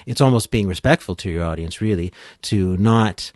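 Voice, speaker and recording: A man giving casual, informal expert explanations as a podcast guest, thinking out loud as he goes. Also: deep voice, normal speed, no room sound, clean studio sound. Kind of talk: casual explanatory interview talk.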